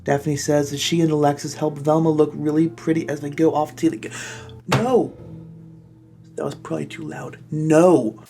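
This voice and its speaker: A man's voice: on the high side, steady tone, moderate pace, creaky, music in the background. A man hosting a comedy video, reading fan fiction in a theatrical way and breaking off with mock-outraged comments. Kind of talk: dramatic comic reading of fan fiction with exasperated asides.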